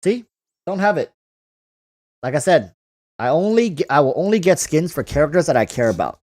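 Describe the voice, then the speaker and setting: A man's voice: a little high, big pitch swings, normal speed, darkish tone. A man hosting a casual livestream, reading out and answering viewer comments, often repeating phrases as he thinks aloud. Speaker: livestream host.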